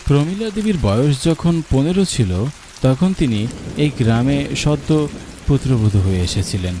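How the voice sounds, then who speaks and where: A man reading scripted storybook narration for an animated tale. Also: mid-range voice, normal speed, background noise.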